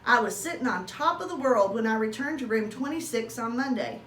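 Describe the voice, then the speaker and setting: Woman voicing an excitable high-pitched little hamster. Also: brisk, far from the mic. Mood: contented. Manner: exclamatory, dramatic. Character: excitable, self-pleased young male pet hamster narrator. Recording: roomy echo with faint noise.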